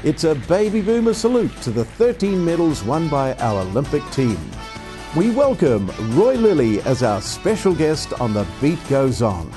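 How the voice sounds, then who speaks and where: A man's voice: on the high side, big pitch swings, moderate pace, dark tone, faint music behind. A man hosting a show, asking upbeat leading questions of his guest. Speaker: radio show host. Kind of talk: casual interview chat.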